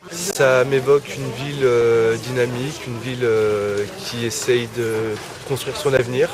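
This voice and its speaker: A man giving calm, hesitant answers in a street interview. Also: medium pitch, a little slow. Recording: crowd noise behind outdoors.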